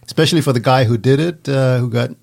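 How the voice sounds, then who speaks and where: A man's voice: medium pitch, fast talker, vocal fry, heavy background noise. A man from Denmark with a Danish accent, a guest author chatting with dry, self-deprecating humor. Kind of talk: casual chat.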